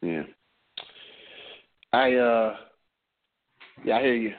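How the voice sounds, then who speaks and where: A man in his late thirties, a podcast co-host from New Jersey, chatting in a relaxed, joking way. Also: medium pitch. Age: 30s. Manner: laid-back, joking, drawn-out storytelling. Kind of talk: casual chat. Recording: tinny phone-like audio.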